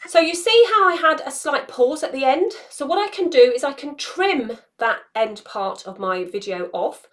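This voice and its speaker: A woman in her forties presents a how-to tutorial in a somewhat high voice. She talks through each step in an explanatory, instructional way. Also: expressive, normal speed, a bit bright. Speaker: social media tutorial presenter. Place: small indoor room, home studio.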